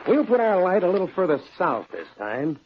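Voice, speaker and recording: A muffled vintage radio drama with faint noise. a smug, commanding male crime boss, calculating in manner, with a lively, swinging delivery. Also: high-pitched, brisk. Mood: scheming. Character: cool, calculating boss of a ship-wrecking gang. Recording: dull old radio recording, faint noise.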